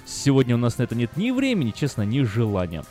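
A man's voice: medium pitch, wildly swooping pitch, fast, mellow. A man hosting a casual radio show, talkative and opinionated, with hesitant fillers. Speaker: radio host. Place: radio studio. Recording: faint music under the talk.

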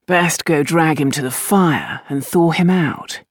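Languid idle nobleman, voiced by a female narrator, storybook, wry and unhurried, close dry recording. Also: low-pitched, no echo. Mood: laconic. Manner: droll, unhurried, faintly amused. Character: dry, languid, teasing aristocratic man, penniless idler.

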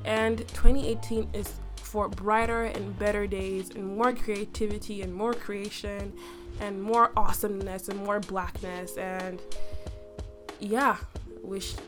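A young adult woman hosting a casual solo podcast, her voice slightly raspy from a cough, chatty and full of fillers with playful boasting. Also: medium pitch, level tone, a little slow, over music. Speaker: podcast host.

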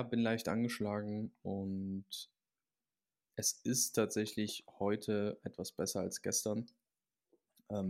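Male athlete guest, lowish flat voice, relaxed and rambling. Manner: rambling, relaxed, frequent fillers. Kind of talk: casual podcast chat.

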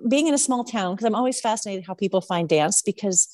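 A woman's voice: medium pitch, expressive, fast, noisy background. A woman hosting a podcast, chatting enthusiastically with lots of likes and fillers. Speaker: podcast host. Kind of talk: casual interview chat.

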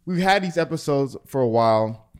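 A man hosts a relaxed conversation, rambling a bit and laughing easily. His voice sits at an everyday middle pitch. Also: moderate pace, smooth. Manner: easygoing, rambling, laughs often. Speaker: podcast host. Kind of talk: casual chat.

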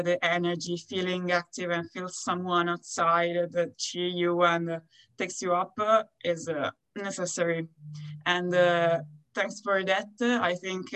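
A young woman from Italy with an Italian accent, a college athlete answering interview questions haltingly as she searches for words in her second language. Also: on the low side, a bit bright, poor audio. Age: young adult. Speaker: college tennis player being interviewed.

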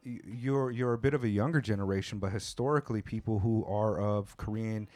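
A man's voice: on the low side, brisk, a little mellow, no room sound, soft background music. A man in his thirties from the United States interviewing a musician in a chatty, self-joking way. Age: 30s. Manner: chatty, self-deprecating, frequent restarts. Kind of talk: casual interview.

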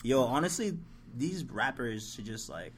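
A man's voice: medium pitch, expressive, a little slow, slight background noise. A man, co-hosting a casual podcast chat, laughing often and telling stories with quoted voices. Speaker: podcast co-host.

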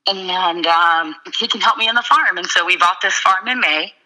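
A woman, the guest, explaining her urban farm in a casual interview, steady with frequent ums. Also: on the low side, moderate pace, low-quality recording.

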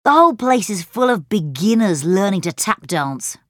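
A woman's voice: medium pitch, big pitch swings, moderate pace, dry recording, studio quality. A woman playing a competitive young girl in a children's storybook, speaking in a pointed way. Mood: gloomy.

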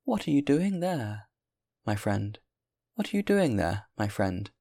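A man voicing a kindly, concerned old noblewoman who asks gentle questions in an audiobook story. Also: fairly low pitch, big pitch swings, measured pace, vocal fry, exaggerated voice. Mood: concerned, questioning. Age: older. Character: kindly, concerned old marquise, a pious woman.

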